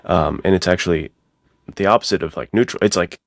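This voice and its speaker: A man's voice: deep voice, fairly quick, gravelly, dry recording, studio quality. A man talking casually as a podcast co-host, slangy and self-deprecating. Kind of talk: casual chat.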